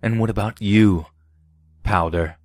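A man voicing a menacing teenage bully leader with sneering, drawn-out taunts. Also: lowish voice, a little mellow, no room sound.

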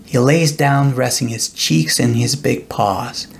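A middle-aged man reads a children's bedtime story at a very slow pace. His voice has some creak in it and sits at an average pitch. He tells it deliberately and expressively, as an author sharing his own book. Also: slight background noise.

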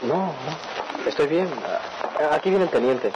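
A man's voice: a little high, lively intonation, slow pace. An actor playing an anxious, polite young man in a radio soap, speaking hesitantly and deferentially. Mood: nervous, polite. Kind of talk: radio soap opera acting. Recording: old radio drama, faint noise.

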